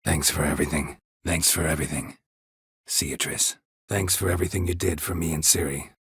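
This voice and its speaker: An American man voicing a stoic, world-weary, middle-aged monster hunter in a video game, with a gravelly, husky voice and a deadpan, clipped delivery. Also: a bass voice, brisk, no echo, studio quality, exaggerated voice. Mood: dry, polite. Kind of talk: video game character dialogue lines.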